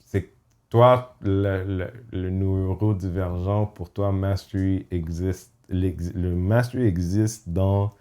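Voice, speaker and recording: A young man and comedian, talking as a podcast host in a casual chat, reflective and halting, with many restarts and English phrases mixed in. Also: low voice, steady tone, dark tone.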